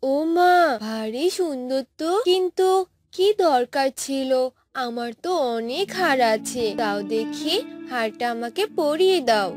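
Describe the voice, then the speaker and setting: A woman's voice: sits high, lively intonation, a little slow, a little mellow, soft background music. A woman voicing a polite, innocent young newlywed bride in a cartoon, sweet and earnest. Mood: affectionate. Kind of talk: Bengali cartoon voice acting.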